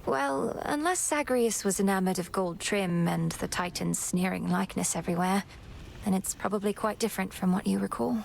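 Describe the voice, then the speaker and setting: A woman's voice: medium pitch, moderate pace, a little hiss or hum, rough recording. A composed young witch princess. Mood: earnest. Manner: polite, composed, earnest. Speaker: game protagonist. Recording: game audio with clear music.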